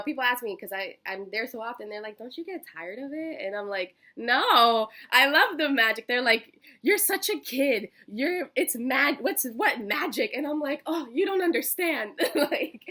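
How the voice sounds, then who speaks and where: Young American woman, early twenties, bright forward voice, effusive and chatty, as an eager interview candidate. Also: high-pitched, lively intonation, measured pace. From Miami, Florida, United States. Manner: bubbly, effusive, giggly, chatty. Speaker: job interview candidate, college student. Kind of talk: casual phone interview answers.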